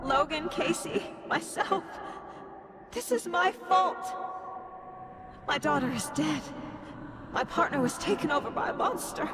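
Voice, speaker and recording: An anguished female investigator from a video game, tears into herself in slow, weighted phrases. The voice is high and full of fry, with loud ambience behind. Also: noisy background. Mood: despairing. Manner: bitter self-blame in short, weighted phrases. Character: anguished woman investigator, guilt-ridden and despairing. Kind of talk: dramatic video game acting.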